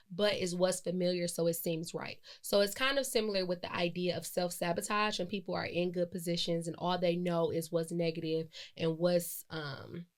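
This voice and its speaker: A woman, hosting a solo podcast in a chatty, reflective way, with many fillers and self-corrections. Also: fairly low pitch. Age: 20s. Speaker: podcast host. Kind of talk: casual solo podcast monologue.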